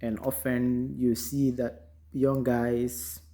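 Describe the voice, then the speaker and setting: A man from Ghana with a Ghanaian accent, giving an unhurried, reflective motivational talk full of ums, recorded in a car. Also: medium pitch, little pitch movement, mellow. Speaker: vlogger. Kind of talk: casual motivational monologue.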